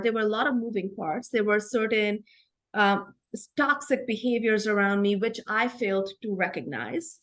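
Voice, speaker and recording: A woman's voice: a little high, a bit bright. Earnest Indian-accented woman. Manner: earnest, reflective, often ends points with "right?". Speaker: guest. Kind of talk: conversational interview.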